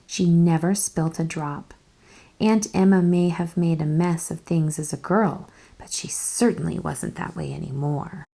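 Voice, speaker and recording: A woman narrating a children's storybook aloud in an expressive, careful storytelling manner. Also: sits low, a little slow, gravelly.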